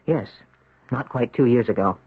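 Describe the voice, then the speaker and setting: An American actor playing a tormented married man confessing a haunted past in a brooding, halting 1970s radio drama style. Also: medium pitch, husky, no room sound, lightly acted. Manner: brooding, halting, rhetorical questioning. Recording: muffled vintage radio broadcast.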